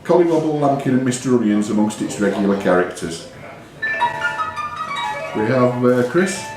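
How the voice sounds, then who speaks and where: Quiz master in a very echoey pub. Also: male voice, medium pitch, normal speed, music in the background. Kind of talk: reading out pub quiz questions. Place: indoor pub function room. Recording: very echoey pub room, audience chatter.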